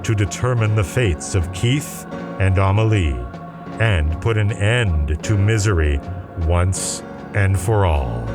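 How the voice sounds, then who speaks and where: A man's voice: low voice, slow. A man narrating a radio serial in a theatrical, ominous, suspense-building style. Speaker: narrator and announcer. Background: music.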